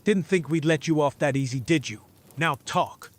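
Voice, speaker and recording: A man's voice: on the high side, normal speed, noisy background, natural voice. A man playing a hard-bitten, suspicious old wizard interrogator in an audio drama, scornful and commanding. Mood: menacing. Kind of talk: fan audio drama acting.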